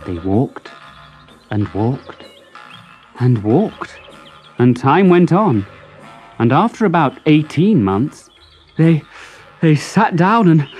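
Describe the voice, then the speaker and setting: Woman reading a kids story, super expressive, slow, bit of music behind. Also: sits low. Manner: animated, deliberate, dramatic pauses. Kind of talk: expressive scripted children's story narration.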